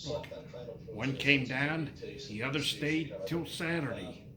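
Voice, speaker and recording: A man reading nursery rhymes aloud to his child in a sing-song, unhurried way, pausing and repeating lines. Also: mid-range voice, reverberant, a crowd in the background.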